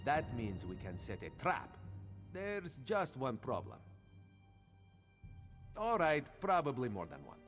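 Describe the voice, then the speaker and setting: A man's voice: medium pitch, huge pitch swings, slow pace, pauses often, fairly bright tone, exaggerated voice. An actor voicing a vain, playful cat-man agent in a video game, speaking theatrically and with self-assurance. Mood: confident, conspiratorial. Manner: theatrical, self-assured, refers to himself by name. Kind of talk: video game character dialogue. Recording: music behind the voice.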